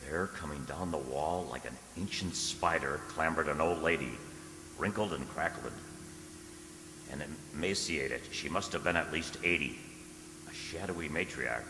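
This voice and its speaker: A man's voice: very deep voice, normal speed, background noise. A man acting an earnest, nervous poet in a radio drama, intense and given to stammering as he tells his tale. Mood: hushed dread. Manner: intense, stammering when spoken to. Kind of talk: dramatic first-person radio narration and acting. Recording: echoing reverberant hall sound.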